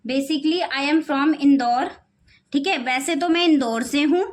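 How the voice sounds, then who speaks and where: A woman teaching spoken English to children, slowing right down to model each phrase and often checking in with "okay, children.". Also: sits high. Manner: slows down sharply to model English phrases word by word; repeats phrases and keeps saying "okay, children". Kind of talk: classroom-style lesson in Hindi.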